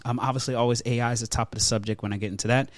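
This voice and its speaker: A man's voice: medium pitch, steady tone, rapid-fire, dry recording. A man hosting a podcast, talking casually in informal slang as he banters and runs through topics. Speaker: podcast host.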